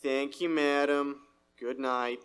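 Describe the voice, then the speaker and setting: Bright, forceful man playing a telegram clerk, slow methodical delivery, impassive, old-time radio acting. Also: medium pitch, level tone, clear, resonant tone. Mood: courteous. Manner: formal, methodical recitation. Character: businesslike, impassive 1930s telegram clerk on the telephone. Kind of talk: 1930s radio drama acting, performed live on stage.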